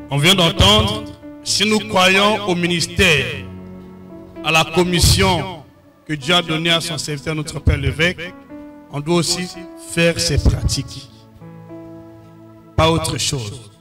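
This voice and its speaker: A man whose first language is English, addressing a church in short phrases and pausing after each one for an interpreter. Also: a little high, sharp tone. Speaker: pastor.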